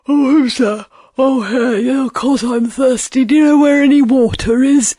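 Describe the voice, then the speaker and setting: A woman's voice: sits high, steady tone, moderate pace, mellow, no echo, clean studio sound. A woman voicing a weary, gullible old male lion who stammers breathlessly and repeats himself in a storybook tale. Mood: flustered, weary. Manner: flustered, stammering, repeating words.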